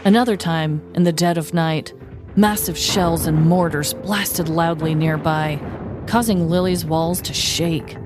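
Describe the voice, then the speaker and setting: A woman's voice: on the low side, fairly even intonation, a little slow, over music. An American woman co-hosting a podcast, moving between casual banter and scripted comedic storytelling. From United States. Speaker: podcast co-host.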